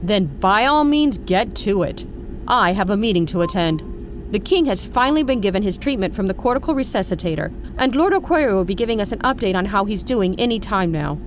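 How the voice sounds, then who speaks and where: An actress playing an authoritative, composed army general in an audio drama. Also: female voice, medium pitch, animated, a little fast, slight background noise, lightly acted. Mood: commanding.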